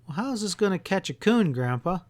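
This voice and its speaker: A man voicing an earnest, excitable country boy in an audiobook story, speaking in a folksy way. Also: high voice, fairly quick, pitched above the performer's own voice. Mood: puzzled. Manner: eager, protesting, folksy.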